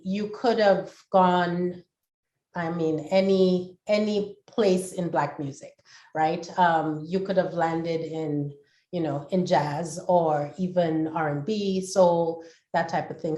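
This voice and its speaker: A woman moderating a panel, asking questions in a slow, encouraging way. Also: lowish voice, a little mellow. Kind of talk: panel discussion. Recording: echoey, dull lo-fi sound.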